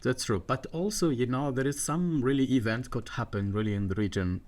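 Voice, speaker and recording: A man's voice: medium pitch, a little mellow. A middle-aged man from Egypt, a guest on a podcast, speaking with many fillers and restarts. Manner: halting, many fillers and restarts, non-native grammar. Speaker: water management guest. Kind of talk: podcast interview.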